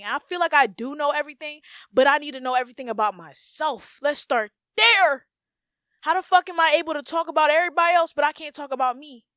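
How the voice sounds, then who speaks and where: A woman's voice: high voice, fairly bright tone, no room sound, clean studio sound. Blunt, profane young woman podcaster. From United States, Haitian family. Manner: blunt, profane, emphatic, often repeats phrases. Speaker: podcast host. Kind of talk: casual solo podcast monologue.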